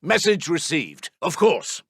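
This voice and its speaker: A man playing a brisk, dutiful British Second World War intelligence officer in a strategy game, with a clipped, formal, commanding delivery. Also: on the high side, very animated, fairly bright tone, husky, no room sound, clean studio sound, heavily acted. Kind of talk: military strategy game unit announcements.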